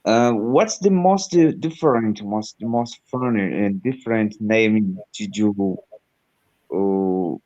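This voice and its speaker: Portuguese-speaking male teacher, slow hesitant English, lowish voice, muffled call audio. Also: a little mellow. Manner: halting non-native English, many fillers. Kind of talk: casual conversation practice. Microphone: video call.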